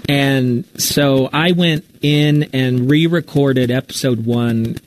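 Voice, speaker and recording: A man's voice: medium pitch, slow pace, poor audio. Candid man talking, some background noise. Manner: candid, self-deprecating. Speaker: radio talk show host and podcast storyteller. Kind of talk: live Q&A, casual talk.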